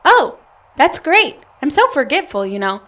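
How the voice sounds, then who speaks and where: Woman acting a flustered, high-voiced alien grad student. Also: sing-song, normal speed, fairly bright tone. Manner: stammering, nervous chuckles. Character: flustered female alien grad student, evasive, squeamish fibber. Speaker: voice actor. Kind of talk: audio comedy drama acting.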